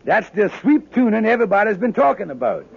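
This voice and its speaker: An actor playing a wheedling, fast-talking husband in a 1950s radio sitcom, with folksy, exaggerated dialect and a coaxing manner. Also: male voice, high voice, expressive, vocal fry, breathy edge, heavily acted. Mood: amused. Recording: tinny, narrow-band old radio broadcast.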